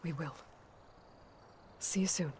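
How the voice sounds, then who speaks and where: A woman's voice: sits low, slow, very noisy. A woman playing a determined young warrior in a video game, terse and commanding as she leads a rescue mission.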